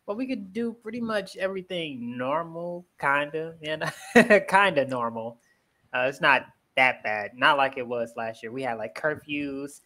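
A young man from the United States working as an online English tutor, talking in an easygoing way and gently offering corrections over a headset. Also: a little high. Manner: relaxed, easygoing, helpful with corrections. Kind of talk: casual video-call conversation. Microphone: headset on video call.

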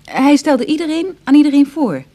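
An actress playing an affectionate, chatty partner in a radio drama, husky-voiced, coaxing and inquisitive in an informal way. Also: female voice, high-pitched, big pitch swings, normal speed, dark tone. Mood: curious. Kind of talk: Dutch radio drama acting.

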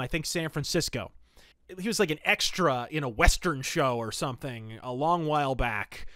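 A man's voice: a little high, fairly bright tone, dry recording, studio quality. A man hosting a podcast, casually reviewing and recapping stories in a chatty, digressive way with emphatic asides. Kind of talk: casual review and plot recap of pulp fiction.

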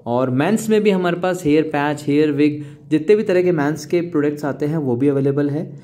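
Male Indian-accented presenter, mellow and slightly high, explaining a service promotionally with English words mixed in. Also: a little fast. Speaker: hair clinic presenter. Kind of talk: promotional demonstration narration.

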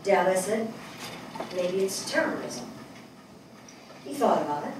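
A woman's voice: medium pitch, sing-song, measured pace. An older woman on stage acting a reflective, wry middle-aged journalist from New York in a dramatic monologue. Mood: wry, sober. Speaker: stage actress in a dramatic reading. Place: indoor small theatre stage. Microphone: far camera. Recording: echoey theatre, distant mic, faint noise.

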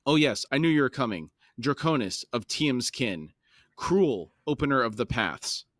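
A rasping, scornful tyrant king who lingers over every word of his gloating speeches, read aloud by a man. The delivery sits at a middling pitch in a dry, close recording. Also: animated, a little slow, a bit bright, no room sound. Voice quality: rasping, self-satisfied.

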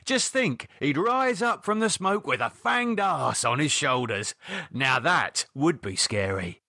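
An exasperated British man, acted out by a male narrator in an audiobook story, mocking and practical. His voice jumps high and swoops freely, recorded close and dry. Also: expressive, a little slow, bright, forceful tone, slightly rough, dry recording, full character voice. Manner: dismissive, mocking, exasperated, colloquial. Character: irritated, sarcastic man, a practical no-nonsense sceptic. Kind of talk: audiobook character dialogue.

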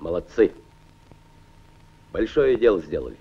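An actor playing a stern Soviet colonel general giving orders and weighing the enemy's plans, in measured 1940s film acting. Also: male voice, low voice, big pitch swings, slightly rough, full character voice. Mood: commanding. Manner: commanding, measured. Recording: dull old film soundtrack.

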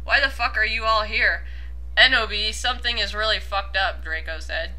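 A woman's voice: mid-range voice, normal speed, pushed and bright, poor audio. A young woman doing a casual mocking reading with commentary, giggly and swearing freely. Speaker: host of a comedic commentary reading.

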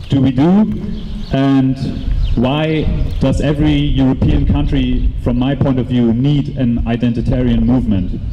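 A young man with a German accent speaks haltingly into a handheld mic at an outdoor gathering. His voice is deep-toned and very dark, and his sentences start, stop and restart around frequent fillers. The sound carries a long open-air PA reverb over a faint hiss. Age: 20s. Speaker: speaker at a rally. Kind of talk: unscripted public talk. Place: outdoors in a courtyard by a brick building.

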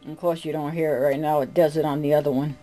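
Halting woman over loud music. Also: low voice, flat delivery. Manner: halting, searching for words. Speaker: vlogger and audio gear hobbyist. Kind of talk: casual off-camera demonstration narration. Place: indoors, room with tape decks. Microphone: handheld camera, speaker off screen. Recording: home camera audio with loud music.

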